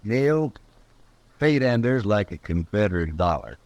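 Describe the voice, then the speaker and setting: A goading troublemaker of the Old West in a radio drama. His voice is low and dry, with a rural Western American drawl. He needles and insists in colloquial speech. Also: sing-song, a little slow, a little mellow, no echo. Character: goading, sly male frontier troublemaker egging on a youngster.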